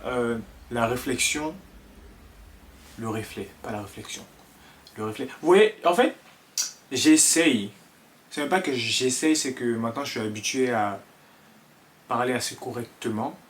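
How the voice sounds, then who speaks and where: A man in his twenties from Ivory Coast with an Ivorian French accent, talking to camera in an earnest, halting way full of fillers. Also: mid-range voice, a bit bright. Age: 20s. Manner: hesitant, many fillers and restarts, earnest. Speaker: vlogger. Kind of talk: casual talk to camera.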